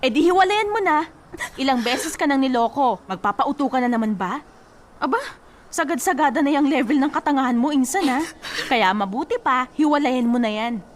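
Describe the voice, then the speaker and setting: A woman's voice: high-pitched, lively intonation, a little fast, a little hiss or hum. A woman acting a blunt, exasperated young female cousin who scolds and talks in a sassy, colloquial way in a Tagalog radio drama. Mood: exasperated, scolding.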